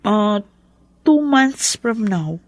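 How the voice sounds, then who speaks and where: A woman's voice: mid-range voice, expressive, very slow, dry recording. An actress playing a meek, apologetic young pregnant woman with a Filipino accent, eager to please, in a radio drama. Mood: casual, guarded.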